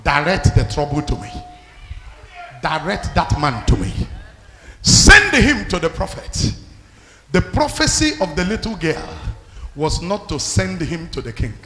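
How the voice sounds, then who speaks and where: A man preaching a loud sermon, shouting and commanding the congregation. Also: a little high, sing-song, moderate pace, reverberant, long reverb tail.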